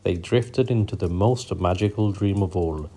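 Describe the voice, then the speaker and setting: A man hosting a children's bedtime story show, narrating in a gentle, soothing, unhurried way. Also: sits low, breathy edge.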